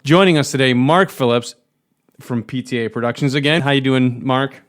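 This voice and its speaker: A man's voice: mid-range voice, moderate pace. American man hosting a casual podcast. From United States. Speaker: podcast host.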